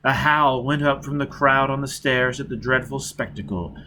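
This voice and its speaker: A man with a medium-pitched voice narrates an audiobook. His reading is scripted and dramatic, with faint steady noise behind it. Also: moderate pace.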